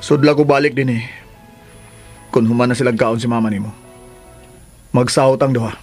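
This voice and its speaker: An actor playing a generous, insistent suitor in a radio drama, earnest and coaxing, with a Cebuano Filipino accent. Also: male voice, mid-range voice, steady tone, normal speed, darkish tone, natural voice. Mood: appreciative. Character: generous, insistent male suitor courting a young woman. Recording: faint music behind the voice. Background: faint music.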